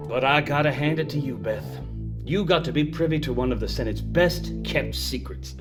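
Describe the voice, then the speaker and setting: An actor playing a smug cannibal senator in an audio drama, genteel and unhurried, with sinister relish. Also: male voice, mid-range voice, music in the background, close to an everyday voice.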